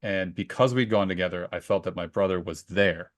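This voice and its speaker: Male podcast co-host, lowish voice, dry close sound, conversational storyteller who slips into quoted dialogue. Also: brisk, no echo. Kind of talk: casual book discussion with personal anecdotes.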